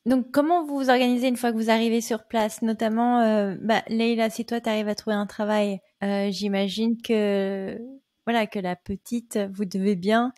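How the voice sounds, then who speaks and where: A woman's voice: a little high, fairly even intonation, moderate pace, a little mellow, dry recording, clean studio sound. A woman hosting a podcast, asking casual follow-up questions to her guests. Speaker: podcast host. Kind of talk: interviewing.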